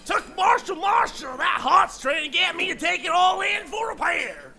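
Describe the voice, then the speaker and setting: A man playing a cranky, loud old small-town mechanic with a gravelly, strained voice and blustering, folksy manner in audio theater. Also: very high-pitched, very animated, moderate pace, soft background music, full character voice. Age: older.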